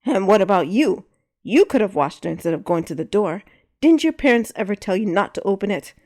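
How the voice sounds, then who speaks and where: A woman voicing a short-tempered grown male wolf who talks in a loud, gruff, barking way. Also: mid-range voice, very animated, normal speed, close to an everyday voice. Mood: barking, angry.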